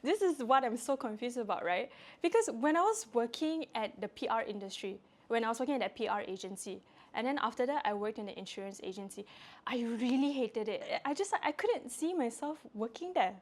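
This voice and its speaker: A young woman in her late twenties hosting a casual talk show, asking questions and explaining herself in a lively, quick way. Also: fairly high pitch. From Malaysia. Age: 20s. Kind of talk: casual chat.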